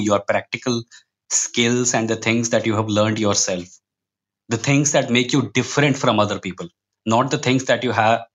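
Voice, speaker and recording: An Indian-accented man talks casually as a podcast guest. His manner is wry and opinionated, wandering into tangents and then making his points with emphasis. His voice sits at an ordinary male pitch. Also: highs cut off. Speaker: podcast guest, comic book writer.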